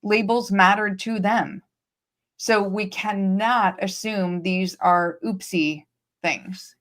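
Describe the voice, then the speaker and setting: A woman's voice: medium pitch, steady tone, takes it slowly, a bit bright. A woman hosting a livestream, explaining points emphatically and repeating key phrases. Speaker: host. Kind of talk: livestream discussion. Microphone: streaming setup.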